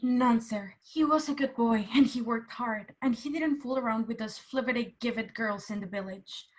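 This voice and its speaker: A woman's voice: on the high side, normal speed, lightly acted. A woman playing a humble, anxious village seamstress, deferential and pleading, in a radio play. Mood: worried. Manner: pleading, plain-spoken, deferential.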